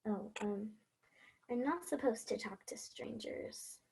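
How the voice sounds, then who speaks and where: A woman's voice: a little high, slow pace, darkish tone, breathy edge, heavily acted. A woman playing an earnest, hopeful little girl hero in a fairy-tale stage play. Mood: hesitant, moved. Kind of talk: stage play acting and storybook narration. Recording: dull, muffled lo-fi sound.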